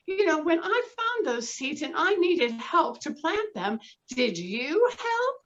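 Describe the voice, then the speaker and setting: A woman voicing a motherly little red hen, speaking in a high, chirpy, sing-song way. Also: fairly bright tone, full character voice. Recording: dull lo-fi recording.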